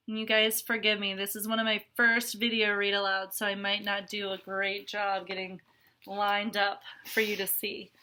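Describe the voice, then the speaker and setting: A woman's voice: medium pitch, sharp tone. A woman reading a picture book aloud to children, adding chatty, explanatory asides as a teacher would.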